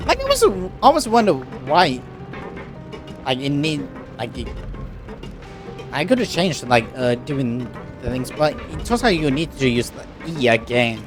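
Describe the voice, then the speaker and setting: A game streamer giving casual, halting commentary, muttering to themselves as they play. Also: mid-range voice, big pitch swings, music in the background.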